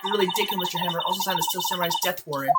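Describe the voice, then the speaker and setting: A woman's voice: lowish voice, racing, heavy background noise, close to an everyday voice. A woman voicing a mild, resigned young studio assistant in a video game fandub, matter-of-fact and subdued.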